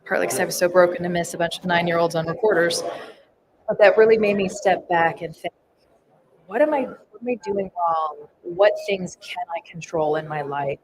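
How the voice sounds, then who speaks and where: A woman's voice: on the low side, moderate pace. A woman in her thirties, a firefighter, telling a candid personal story in a casual podcast chat. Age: 30s. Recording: noisy live event floor.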